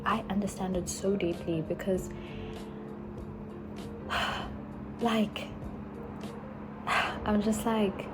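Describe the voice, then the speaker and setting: A young woman from Africa, musing slowly in a casual travel vlog, full of sighs and likes. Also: mid-range voice. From South Africa. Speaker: vlogger. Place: in Italy while travelling.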